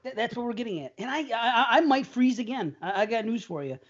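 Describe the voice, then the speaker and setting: A man's voice: extremely high pitch, lively intonation, quick pace, muffled recording. A man co-hosting a casual horror podcast over a remote call, rambling and stammering as he talks. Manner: rambling, stammering, laughs often. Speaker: podcast co-host. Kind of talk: casual banter.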